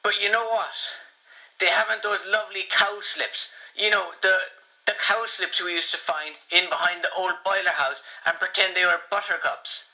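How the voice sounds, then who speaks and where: An actor playing a shy, wistful elderly Irish man in a radio play, halting and repeating himself as he rambles. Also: high-pitched, steady tone, sharp tone, full character voice. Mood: hesitant, friendly. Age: older. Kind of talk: radio play voicemail monologue. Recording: dull, lo-fi voicemail sound.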